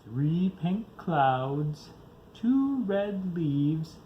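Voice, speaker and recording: A man's voice: fairly high pitch, big pitch swings, drawn-out words, dull audio, slight background noise. A father reading a children's picture book aloud, expressively, varying his pace for young listeners.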